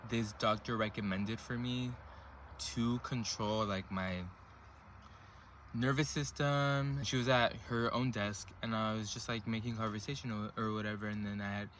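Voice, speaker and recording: A young man in his twenties talks straight to camera in a loose, rambling way, full of fillers and restarts. His voice sits on the low side, with a faint hiss of background noise underneath. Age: 20s. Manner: relaxed, rambling, filler-heavy talk. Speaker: vlogger. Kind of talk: casual personal storytelling to camera.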